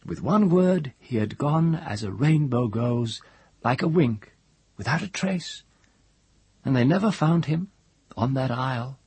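Man voicing a solemn woman storyteller, high-pitched. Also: animated, a little slow, a little mellow, slightly rough, no echo, exaggerated voice. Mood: solemn wonder. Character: grave, knowing woman storyteller, full of awe.

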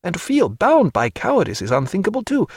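A man's voice: sits high, huge pitch swings, brisk, darkish tone, slightly rough, dry recording, studio quality, voice pushed up, full character voice. A man reading a spirited, proud young woman in an audiobook, fiery and theatrical in manner, swinging from bitter to tender.

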